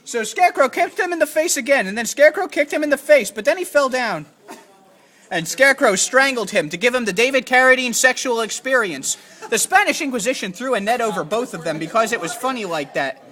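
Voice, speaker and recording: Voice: medium pitch, expressive, a little fast, sharp tone. A person improvising an absurd, profane comic story in a mock storybook narration.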